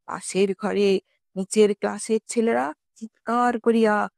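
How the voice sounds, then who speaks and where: A woman narrating a scripted story expressively, in a measured storytelling manner with formal literary diction. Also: mid-range voice, fairly even intonation, gravelly, a little mellow, dry recording, clean studio sound. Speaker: audiobook narrator.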